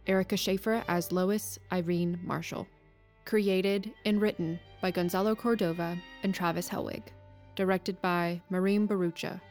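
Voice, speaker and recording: A woman's voice: mid-range voice, darkish tone. A woman announcer giving a scripted reading of production credits. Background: music.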